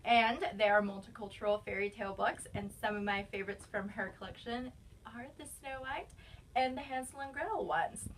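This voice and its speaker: A young woman with a fairly high voice chats eagerly about her favorite books. She sounds a little distant from the camera mic. A faint hiss of room noise sits under her voice. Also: a little fast. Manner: enthusiastic, chatty, with fillers. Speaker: librarian recommending books. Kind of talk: casual book recommendation video.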